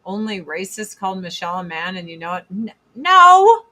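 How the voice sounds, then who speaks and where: A woman in her forties with a deep voice, chatting bluntly and profanely on a casual livestream while doing her makeup. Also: big pitch swings, normal speed, pushed and bright. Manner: blunt, profane, sarcastic, reads chat comments aloud. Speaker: livestream host.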